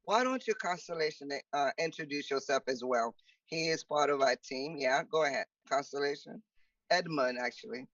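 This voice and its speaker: A woman's voice: sits low, normal speed, fairly bright tone, no echo, far from the mic. Woman hosting a muffled video call. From Democratic Republic of Congo. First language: French. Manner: chatty, upbeat, many fillers. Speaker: host. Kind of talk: moderating an online panel.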